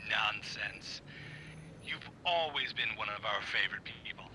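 A suave, menacing party host from an audio drama , played by a man. His voice is extremely bright and cutting, with lively, mannered politeness. A faint noise runs behind it. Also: fairly low pitch, measured pace, heavily acted, rough recording. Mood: falsely gracious.

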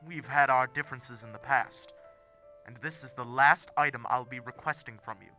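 A man's voice: medium pitch, normal speed, sharp tone, faint music behind, low-quality recording. An actor playing a menacing, impatient male client in an audio drama, curt and threatening as he insists on his demands.

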